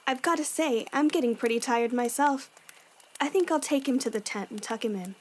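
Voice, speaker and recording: Woman as a high-voiced, no-nonsense young warrior woman. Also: fairly quick, a little mellow, slight background noise, lightly acted. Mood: gentle, storytelling. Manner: firm, matter-of-fact. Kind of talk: fan audio drama acting.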